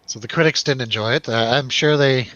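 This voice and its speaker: A man's voice: mid-range voice, fairly quick, a bit bright, no echo, dull audio. A man hosting a casual, jokey film review discussion, with a teasing manner. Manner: joking, teasing. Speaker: host of a film review show. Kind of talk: casual jokey panel chat.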